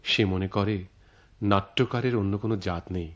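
Male actor as detached, fatalistic playwright for radio drama. Low register, deliberate theatrical delivery. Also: lightly acted. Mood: detached, philosophical. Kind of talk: Bengali radio drama acting.

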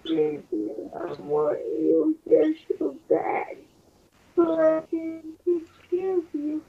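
Very high, creaky-voiced woman, muffled playback. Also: steady tone, slow pace. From United States. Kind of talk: ASMR couple roleplay, intimate chat.

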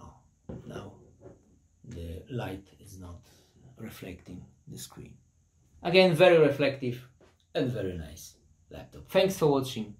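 A man in his thirties, not a native English speaker, casually reviewing a laptop in plain, halting English and apologizing for his language. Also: mid-range voice, reverberant. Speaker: tech reviewer. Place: hot room at home with a construction site nearby. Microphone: laptop built-in mic and camera. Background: buzzing construction noise.

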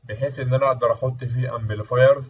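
A man's voice: mid-range voice, fairly even intonation, rapid-fire, breathy edge. A man with an Egyptian Arabic accent giving an explanatory technical lecture as an instructor, often asking and answering his own questions. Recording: muffled, distant mic, dull sound.

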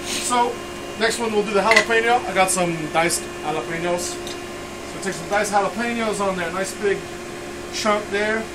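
A man in his thirties hosting a casual cooking demo in a small kitchen, loud and jokey, rambling with punchy asides. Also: high voice, normal speed. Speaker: cooking show host. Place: small cluttered kitchen, indoors. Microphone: camera mic a few feet away. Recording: distant camera mic, loud hum.

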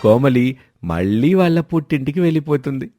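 A man's voice: mid-range voice, expressive, normal speed, mellow, soft background music. A man narrating a scripted family moral story.

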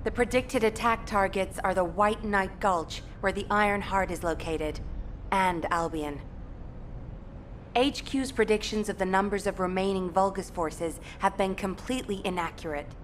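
Casting: woman as authoritative game military commander, middle register, formal, measured delivery, composed under threat. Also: mid-range voice, a little hiss or hum, natural voice. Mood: grave, commanding. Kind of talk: video game briefing.

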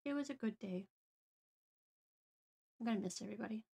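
A woman's voice: a little high, fairly quick, vocal fry, mellow, slightly rough. A woman hosting a livestream, chatting casually and playfully with her co-host and viewers.

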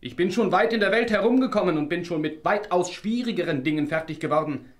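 A resolute young hero of a fairy-tale radio play. He has a fairly high voice. His way of speaking is earnest and formal. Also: lively intonation, quick pace. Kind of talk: fairy-tale audio drama acting.

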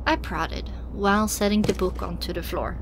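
A woman's voice: medium pitch, moderate pace, noisy background. A woman reading first-person dramatic audiobook narration as a scripted story. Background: music.

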